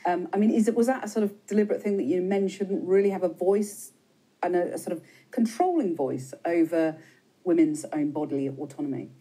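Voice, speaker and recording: Newsreader woman, warm and low. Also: fairly quick, poor audio. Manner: crisp, probing questions. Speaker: news presenter. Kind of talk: news report and interview. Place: TV news studio.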